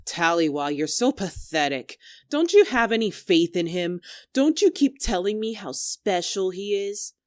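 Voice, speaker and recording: A woman's voice: mid-range voice, lively intonation, measured pace, dry recording, clean studio sound, natural voice. A woman playing a domineering, scornful young female squad leader in a dramatic argument, biting, sarcastic and commanding. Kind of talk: dramatic two-character argument performance.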